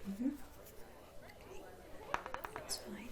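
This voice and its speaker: A British woman whispering softly and breathily in an ASMR roleplay, gently reassuring a customer like a receptionist. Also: drawn-out words, very noisy. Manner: gentle, reassuring customer-service patter with endearments. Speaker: ASMR performer playing a club receptionist.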